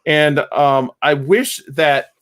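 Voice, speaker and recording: A man hosting a casual podcast chat, talking loudly and rambling with many fillers, his mic set about two feet away. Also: on the high side, slow. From Wisconsin. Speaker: podcast host.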